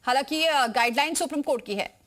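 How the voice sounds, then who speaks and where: ऊँची आवाज़ वाली महिला न्यूज़ एंकर. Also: female voice, high voice, fast talker, fairly bright tone. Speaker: news anchor. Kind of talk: live news anchoring, questioning reporters.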